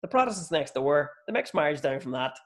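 A man with a Northern Irish accent in casual chat, animated and sweary as he tells stories. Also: on the high side, a little fast. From Northern Ireland, near South Armagh.

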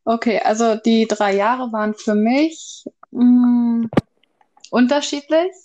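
A woman's voice: fairly high pitch, fairly even intonation, slow pace, darkish tone, lo-fi sound. A woman in her early twenties in a casual, unscripted podcast chat, speaking in a slightly tentative way. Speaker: podcast co-host.

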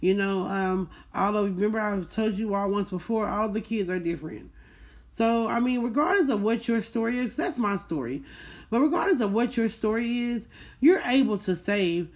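A woman's voice: medium pitch, normal speed, darkish tone. Folksy, emphatic woman chatting. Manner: emphatic, repeats key words for stress; folksy, addresses listeners as y'all. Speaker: host of a personal book club podcast. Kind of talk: casual chat, recapping a book.

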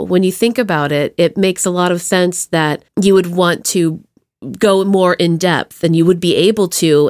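Upbeat lady podcast host, super animated pitch, slangy casual chat, really dry close sound. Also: no echo. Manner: informal slang, playful enthusiasm. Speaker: podcast host interviewing a guest. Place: remote video call.

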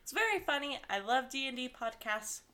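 A woman's voice: sits high, expressive, fairly quick, crowd noise. A young woman with a slight lisp co-hosting a podcast in rambling, giggly casual chat. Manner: giggly, rambling, laughs often. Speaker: podcast co-host.

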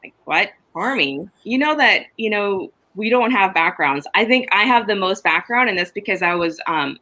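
A woman's voice: mid-range voice, moderate pace. A middle-aged woman from Honduras, a farmer chatting casually and telling stories about her farm. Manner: chatty, animated storytelling with laughs. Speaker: farmer, podcast guest. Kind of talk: casual chat.

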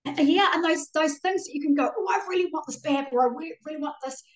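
A woman's voice: sits high, fast. A middle-aged woman with a New Zealand accent, chatting candidly and casually as a podcast guest in an effusive, colloquial way. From Auckland, New Zealand. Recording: roomy echo, distant from mic.

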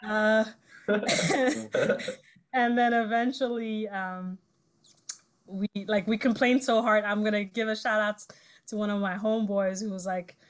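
A woman's voice: mid-range voice, steady tone, a little slow, long pauses, clean tone. A woman in her twenties from Ivory Coast and Zimbabwe, whose first language is French, telling her story as a guest in a casual interview with frequent laughs and fillers. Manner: chatty, laughs often, trails into fillers. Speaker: guest musician.

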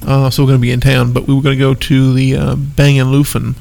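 A man's voice: mid-range voice, little pitch movement, fast, creaky, darkish tone. A man in his thirties with a stuffed-up nose, co-hosting a casual podcast chat in an easygoing, rambling way. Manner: easygoing, laughs often, rambling asides. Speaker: podcast co-host.

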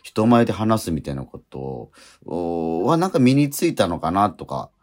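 A man, middle-aged, hosting a radio show in a casual, reflective way, thinking aloud in halting phrases. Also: deep voice, very animated, darkish tone.

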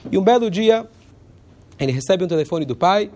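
A man, hoarse from a cold, giving an informal religious lesson in a conversational way and pausing now and then to search for words. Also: high-pitched, lively intonation, mellow.

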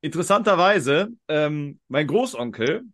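A man's voice: a little high, lively intonation, unhurried, fairly bright tone. A man hosting a quiz podcast in a casual, talkative and rambling way. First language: German.

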